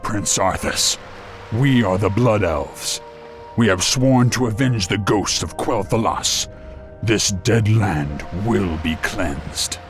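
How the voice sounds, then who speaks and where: A man's voice: fairly low pitch, soft background music. An actor playing a proud, vengeful elf warrior in a fantasy video game, declaiming his words. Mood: defiant. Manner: slow, declamatory.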